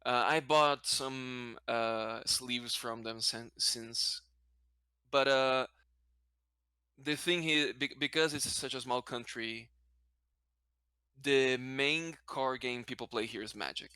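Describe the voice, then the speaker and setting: A man's voice: medium pitch, steady tone, slow, bright, forceful tone, clean tone, no echo. A man from Brazil whose first language is Portuguese, answering as a guest in a casual interview, hesitant and often correcting himself. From Brazil, later a Spanish-speaking country.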